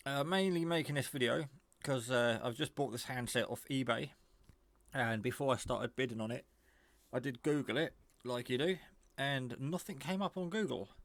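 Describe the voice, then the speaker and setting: Man, low-voiced, casual and rambling. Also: moderate pace, dry recording. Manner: rambling, informal. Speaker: radio hobbyist showing a handset. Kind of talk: casual product show-and-tell.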